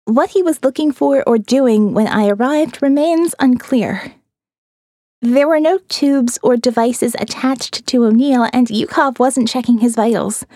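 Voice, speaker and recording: A woman's voice: a little high, a little slow, dark tone, dry recording, studio quality. A woman reading a scripted first-person audio novel as its narrator.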